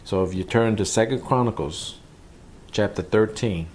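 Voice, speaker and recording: A man's voice: fairly low pitch, moderate pace, darkish tone, slight background noise. A man, a pastor, teaching from the Bible in a conversational sermon, repeating phrases for emphasis.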